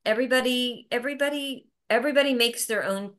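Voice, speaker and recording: Bright-voiced woman chatting emphatically. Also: on the high side, fast talker. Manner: emphatic, with frequent restarts and repeated words. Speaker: interview guest. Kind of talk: casual conversational interview.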